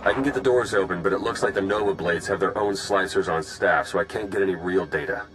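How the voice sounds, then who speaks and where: Male slicer sidekick, low voice, informal, practical, eager, video game, music behind. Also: quick pace, poor audio. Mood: focused, upbeat. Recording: loud music under the voice. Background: cinematic game music.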